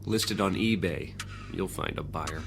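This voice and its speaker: Deep-voiced, jaded skeptic. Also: male voice, measured pace, vocal fry, music in the background. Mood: skeptical. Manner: wry, dismissive, colloquial. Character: jaded, skeptical working man, a weary commercial artist. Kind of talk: radio drama acting.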